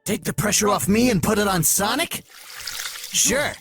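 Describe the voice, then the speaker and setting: A man's voice: high-pitched, a little slow, creaky, music in the background, exaggerated voice. A man playing a brash, mischievous little cartoon goon with a squawky voice and a boisterous, taunting manner. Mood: gleeful, taunting. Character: brash, cocky small male cartoon goon, gleefully mischievous.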